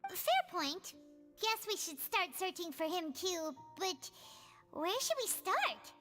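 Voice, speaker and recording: A woman's voice: sing-song, slow, music in the background, heavily acted. A woman voicing a small, chirpy, childlike fairy companion in a video game, eager and exclamatory. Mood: thoughtful. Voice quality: squeaky, childlike. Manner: exclamatory, refers to self in third person.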